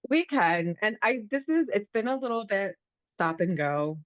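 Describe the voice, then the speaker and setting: A woman in her thirties from the United States, hosting a podcast in a relaxed, chatty way, with lots of fillers and restarts. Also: medium pitch, normal speed, no room sound, dull audio. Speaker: podcast host. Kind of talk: casual conversation.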